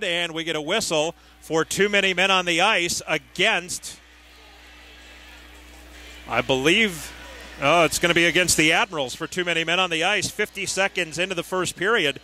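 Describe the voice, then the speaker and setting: A man calling ice hockey live on the radio as play-by-play announcer, with a clipped, steady running description. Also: high voice, moderate pace.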